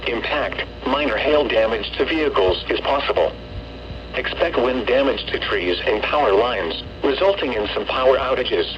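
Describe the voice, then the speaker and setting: Automated male announcer, mid-pitched text-to-speech, formal and measured, reading storm warnings over dull, faintly noisy radio. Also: expressive. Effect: weather radio broadcast. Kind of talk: scripted severe weather warning bulletin. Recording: muffled lo-fi radio with hum, noise.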